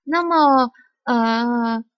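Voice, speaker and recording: A woman streamer from Taiwan, chatting playfully and halting when speaking English. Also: on the high side, dry recording, highs cut off. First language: Mandarin. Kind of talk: live game stream chat.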